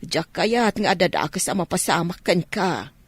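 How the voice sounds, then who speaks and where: Casting: woman playing old village grandma for radio drama, gentle and thankful, husky, lowish voice. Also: lively intonation, normal speed, no room sound. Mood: affectionate, reassuring. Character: grateful elderly village grandmother, gentle and reassuring. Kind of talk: Ilocano radio drama acting.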